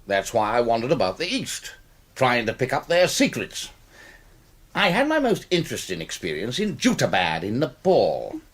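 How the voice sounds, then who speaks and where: A jovial older English gentleman in a radio play. His plummy upper-class voice is husky and swoops extravagantly as he spins his tales with theatrical relish. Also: medium pitch, wildly swooping pitch, normal speed. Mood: boastful, relish. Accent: British upper-class. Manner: plummy, chuckling, theatrical raconteur. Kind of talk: British radio drama acting.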